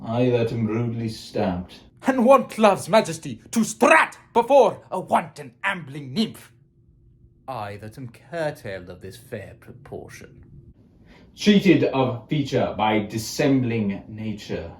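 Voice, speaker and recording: Bitter, deformed royal schemer for a Shakespeare soliloquy, played by a man: declamatory, very unhurried, theatrical, in an echoing room. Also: medium pitch, very animated. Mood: bitter self-loathing. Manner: declamatory, slow with dramatic pauses and swings. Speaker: amateur Shakespeare performer. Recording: roomy, echoing space.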